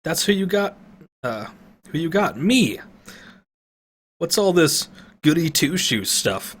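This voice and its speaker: A man's voice: a little high, very animated, slow, fairly bright tone, pitched above the performer's own voice, exaggerated voice. A man voicing a brash, greedy young female elf thief in a storybook. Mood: defiant.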